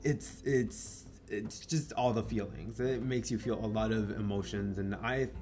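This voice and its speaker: A man's voice: lowish voice, steady tone, unhurried, a little mellow, soft background music. A Mexican-born man whose first language is Spanish, hosting a podcast and chatting casually about films, rambling with many fillers and restarts and the odd swear word. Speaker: podcast host. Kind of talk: casual film review chat.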